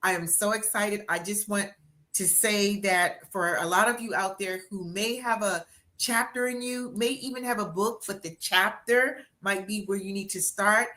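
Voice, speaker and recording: A woman in her sixties hosting a live-stream interview, energetic and encouraging with a motivational push. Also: mid-range voice, normal speed. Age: 60s. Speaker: interviewer and book coach.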